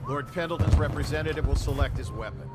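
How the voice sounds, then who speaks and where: An actor playing a formal, deferential household official who presides over duels in a measured, ceremonious way. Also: male voice, on the high side, over loud music, close to an everyday voice. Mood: solemn, formal. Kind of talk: video game voice acting.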